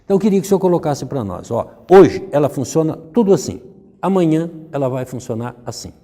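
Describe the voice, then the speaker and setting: A man's voice: on the high side, big pitch swings, a little fast, mellow. A man with a Brazilian accent hosting an informal television interview. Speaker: talk show host, interviewer. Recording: reverberant hall-like room.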